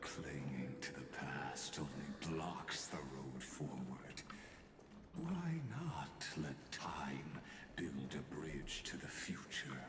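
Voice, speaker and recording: An actor voicing an ominous, theatrical male narrator in a video game, slow and grandiose in manner. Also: rumbling low, animated, full character voice. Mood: grandly foreboding. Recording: echoey hall reverb under loud music.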